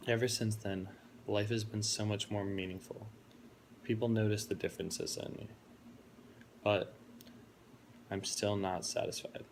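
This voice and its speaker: A young man in his twenties delivers a prepared speech about himself, earnest and reflective. His voice sits deep and gravelly with a narrow, even melody. A faint hiss runs underneath. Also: a little slow, pauses often. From Florida, United States. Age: 20s. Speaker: college student giving a speech.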